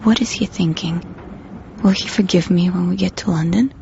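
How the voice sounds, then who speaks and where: A woman's voice: fairly low pitch, normal speed, creaky, warm tone. An actress playing a young Russian woman spy in a radio drama, tender but defiant and secretive, with a Russian accent. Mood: anxious, reflective. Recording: dull lo-fi recording, background noise.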